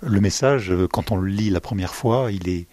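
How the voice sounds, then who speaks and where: A man's voice: sits low, normal speed, dark tone, no echo. A male reporter asking friendly, rambling interview questions.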